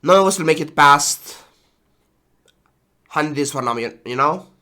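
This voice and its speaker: A man who is sick and congested, sighing and talking slowly while casually reading game patch notes on a stream. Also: a little high, lively intonation, pushed and bright. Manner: slow, sighing, trailing off. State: congested with flu.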